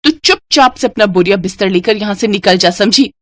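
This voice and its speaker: A woman's voice: medium pitch, lively intonation, fairly quick, no echo, natural voice. Woman playing a domineering, scolding sister-in-law. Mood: harsh, commanding. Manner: scolding, commanding. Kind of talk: cartoon moral story acting.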